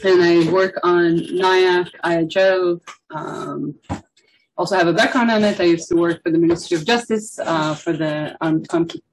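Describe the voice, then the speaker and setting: A woman with a somewhat low voice introduces herself as a doctoral candidate. The talk is informal and unscripted, with faint noise on the recording. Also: normal speed, rough recording. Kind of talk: informal self-introduction at a workshop.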